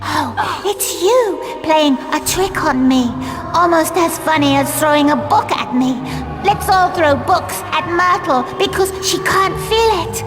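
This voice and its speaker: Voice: very high-pitched, unhurried. An actor playing a sulky, sarcastic teenage girl ghost in a video game, whiny and petulant. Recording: long hall reverb, music behind.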